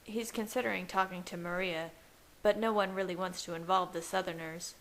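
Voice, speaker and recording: Woman reading as a gentle, protective young male vampire. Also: fairly low pitch, fairly even intonation, brisk. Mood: gentle, measured. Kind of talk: audiobook character voice. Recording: hissy home recording.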